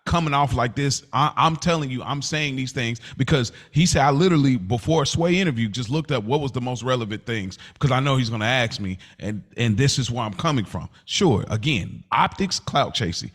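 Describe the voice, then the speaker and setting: A man's voice: mid-range voice, a little fast, few pauses, fairly bright tone. A man co-hosting a podcast in a casual debate, speaking earnestly and argumentatively in slangy phrases, often repeating himself as he presses his point. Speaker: podcast co-host.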